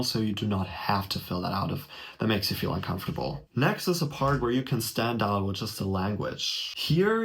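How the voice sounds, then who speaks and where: A man's voice: on the low side, a bit bright. Young guy from germany explaining an application step by step, friendly and direct, talks straight to the viewer. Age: young adult. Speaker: vlogger explaining a college application. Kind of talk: casual tutorial walkthrough. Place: small indoor room.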